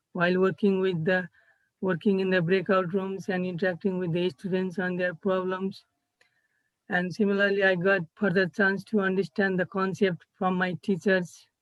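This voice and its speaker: A young man from Nepal with a Nepali accent, presenting his internship reflection over a video call, pausing and restarting as he searches for words. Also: high voice, no echo. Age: young adult. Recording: dull, slightly muffled video-call audio.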